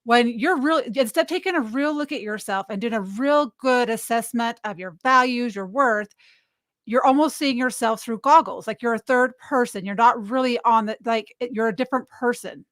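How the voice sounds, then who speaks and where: A high-pitched woman who hosts a casual livestream and rambles through her points, often restarting sentences. Her voice is dry and close to the mic, with no room sound. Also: moderate pace, fairly bright tone, no room sound. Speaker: host.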